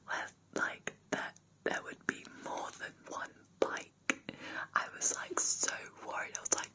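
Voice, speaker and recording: A young British woman whispering personal memories in a soft, rambling, sentimental ASMR style with many ums. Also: low-quality recording. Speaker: ASMR creator.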